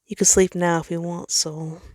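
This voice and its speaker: A woman voicing a gentle, protective male planet with a soft, soothing murmur in a story reading. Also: lowish voice, little pitch movement, normal speed, dry recording, studio quality, close to an everyday voice. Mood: tender.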